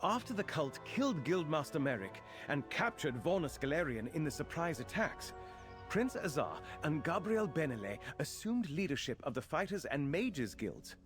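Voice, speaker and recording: Male quest-giver in a fantasy game: earnest islander lookout, higher-pitched, very animated, formal exposition, music underneath. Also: moderate pace. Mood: grave explaining. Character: earnest male fantasy islander watchman rallying help against a cult. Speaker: quest-giving non-player character in a fantasy game. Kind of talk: scripted game dialogue.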